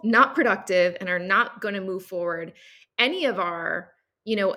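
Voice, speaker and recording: A woman guest in a casual conversation, speaking earnestly and saying "like" often. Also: lowish voice. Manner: earnest, frequent "like", animated.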